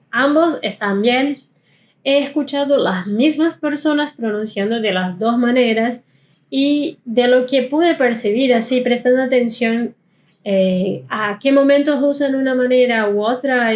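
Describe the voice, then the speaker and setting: Woman teaching German pronunciation. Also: fairly high pitch. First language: not Spanish. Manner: explanatory, slows down to model sounds. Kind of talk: pronunciation lesson.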